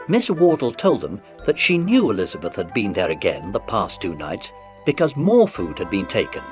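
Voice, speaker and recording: Very animated male storybook narrator. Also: mid-range voice. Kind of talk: dramatic scripted children's story narration.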